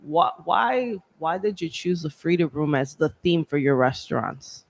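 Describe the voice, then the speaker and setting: A woman's voice: low-pitched, animated, measured pace, vocal fry. A woman hosting a casual live chat, talking in a chatty way with lots of "like" and "right?". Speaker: host. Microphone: handheld mic, filming on phone.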